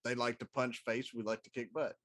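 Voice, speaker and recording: A man's voice: mid-range voice, flat delivery, a little fast, a bit bright. A middle-aged man co-hosting a talk show in casual, conversational style. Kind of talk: casual chat about pop culture.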